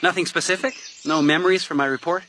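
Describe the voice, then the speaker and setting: Bright-voiced, probing newspaper reporter. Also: male voice, a little high, steady tone, quick pace, slight background noise. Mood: insistent, familiar. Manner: inquisitive, pressing, precise. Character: probing, self-assured male newspaper reporter, eerily over-familiar. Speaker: actor in a radio detective drama. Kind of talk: detective radio drama acting.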